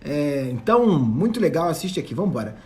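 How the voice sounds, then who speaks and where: High-pitched husky Brazilian man hosting. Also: big pitch swings, fairly quick. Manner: effusive, informal, repeats phrases. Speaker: host. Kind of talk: casual live chat interview. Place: online live video call.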